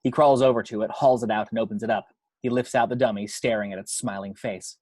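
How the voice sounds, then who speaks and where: A man's voice: fairly low pitch, fairly even intonation, quick pace, darkish tone, dry recording. A man reading screenplay action and scene headings as narrator for a table read at home. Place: at home, dry close recording.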